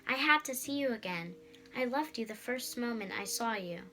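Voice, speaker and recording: Girl doing a lovesick young gent from a storybook, earnest and polite, bright sound. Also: on the high side, lively intonation, moderate pace, soft background music, voice pushed up, rough recording. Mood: ardent. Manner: earnest, courtly. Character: smitten, earnest young gentleman in love.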